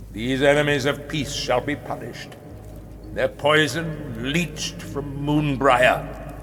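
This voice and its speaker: An actor playing a proud, menacing rabbit ruler in a fantasy game, speaking in pompous, theatrical oratory. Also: male voice, a little high, a bit bright, full character voice. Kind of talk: dramatic fantasy game acting. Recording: long hall reverb, music underneath. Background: music.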